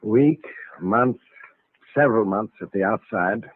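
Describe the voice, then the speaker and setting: A man's voice: on the low side, measured pace, no room sound. An actor playing a courteous, businesslike man managing a rich household in a mid-century radio drama, formal and matter-of-fact. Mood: courteous, sober. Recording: tinny, noisy vintage radio recording.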